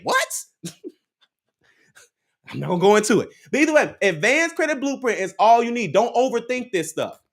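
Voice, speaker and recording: Guy doing a live credit stream, super animated, keeps repeating himself like a pep talk. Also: extremely high pitch. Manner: preachy, repeats key lines, laughs often, talks to the chat. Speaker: credit advice livestream host. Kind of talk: live motivational chat with viewers.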